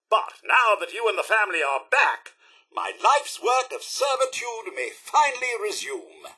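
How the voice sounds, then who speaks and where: A man's voice: high-pitched, pushed and bright, breathy edge, heavily acted. A man voicing a polite, fussy, anxious and loyal robot butler in a video game, with a British accent and a prim, formal, deferential manner. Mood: overwhelmed, plaintive. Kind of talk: video game character voice lines.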